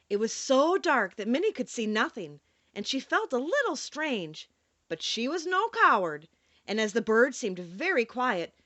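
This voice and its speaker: Woman, children's audiobook narration, very lively intonation, expressive emphasis, dry close sound. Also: a little high, no echo. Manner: animated, storytelling delivery with dramatic emphasis.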